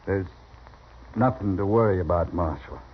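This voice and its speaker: An American actor playing a polite, uneasy young gunman in a radio western, soft-spoken with a needling edge. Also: low voice, moderate pace, lightly acted. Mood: guarded. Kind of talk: 1950s radio western acting. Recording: dull, slightly noisy old radio recording.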